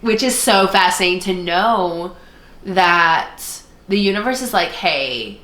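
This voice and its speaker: A woman with a bright, crisp-edged voice tells personal stories in a loose, conversational way. She slips into quoted remarks as she goes. The room around her is noticeably echoey. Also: on the low side, animated, slow pace. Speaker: podcast co-host, former art student. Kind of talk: casual chat, animated personal storytelling. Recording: roomy, echoey sound.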